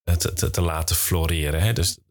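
A man hosting a podcast in a casual interview style, halting and repeating words as he forms questions. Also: deep voice. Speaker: podcast host.

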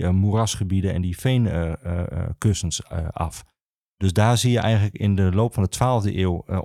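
A man explaining history as an interview guest, speaking haltingly with many filled pauses. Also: on the low side, mellow, no echo, clean studio sound. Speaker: archivist, podcast guest.

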